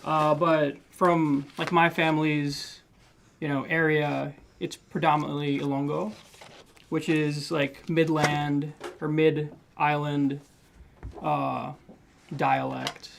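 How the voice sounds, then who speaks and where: A man's voice: fairly high pitch, little pitch movement, slow, vocal fry, a bit bright, a little hiss or hum. A young man from the Philippines, a livestream guest, chatting casually with dry jokes and a hesitant delivery. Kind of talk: casual chat.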